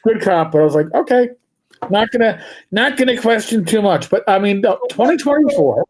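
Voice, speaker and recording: A man co-hosting a livestream, chatting casually about tabletop games and spinning rambling anecdotes with slow, drawn-out emphasis. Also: high voice, animated, a crowd in the background. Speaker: livestream co-host.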